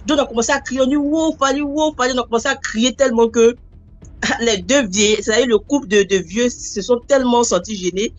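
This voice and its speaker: Fast-talking woman telling a story. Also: a little high. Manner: rambling, animated, acts out quoted voices. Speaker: caller telling a personal story. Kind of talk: casual spoken storytelling.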